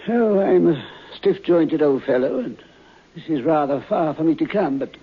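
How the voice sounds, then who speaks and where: A man playing a weary, guilt-ridden old man in an old-time radio drama, halting and mournful. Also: fairly high pitch, expressive, breathy edge, phone-quality sound. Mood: weary, sorrowful. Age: older. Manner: halting, shuffling, mournful delivery.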